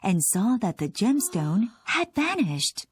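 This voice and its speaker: A woman narrating a scripted children's fairy tale in an expressive storytelling manner. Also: mid-range voice, takes it slowly, crowd noise.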